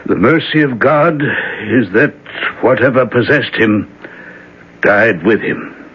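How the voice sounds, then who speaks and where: A slow, solemn reverend. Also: male voice, mid-range voice, breathy edge. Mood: somber. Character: grave aging reverend father, somber. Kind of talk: 1970s radio drama acting. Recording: dull old radio broadcast.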